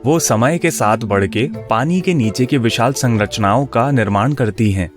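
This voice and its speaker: A man voicing a friendly, laid-back young student in an animated story, with a casual way of talking. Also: medium pitch, moderate pace, lightly acted. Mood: matter-of-fact. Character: easygoing, friendly young male student, mildly teasing. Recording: music bed under the voice.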